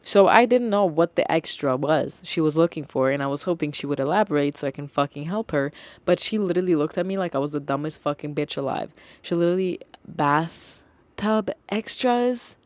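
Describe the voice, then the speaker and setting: A young adult podcast host telling retail stories in a casual, animated way, swearing and acting out customers. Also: medium pitch, fast talker, dry recording.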